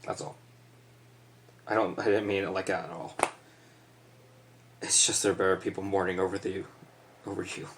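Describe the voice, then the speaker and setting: A young man acting an awkward, self-conscious teenage boy, halting and correcting himself in a stage monologue. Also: deep voice, flat delivery, noisy background. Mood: awkward, regretful. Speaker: stage actor. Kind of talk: theatrical letter monologue.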